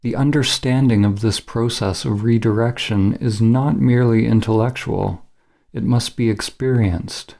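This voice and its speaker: A man narrating a scripted guided meditation in a measured, solemn, instructional manner as the guide. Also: medium pitch, steady tone, vocal fry, warm tone.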